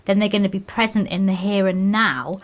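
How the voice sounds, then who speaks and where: A woman's voice: mid-range voice, a little fast. A woman with a Northern English accent co-hosting a radio interview, encouraging the guest and summing up what she says. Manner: warm, encouraging, rephrases the guest's points. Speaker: radio co-host. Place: radio studio.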